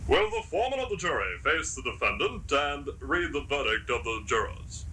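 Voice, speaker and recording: A man's voice: on the high side, very animated, normal speed, pushed and bright. A man playing a commanding courtroom judge in a period radio drama, formal and declamatory. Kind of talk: 1970s community radio drama. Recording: noisy 1970s live radio tape.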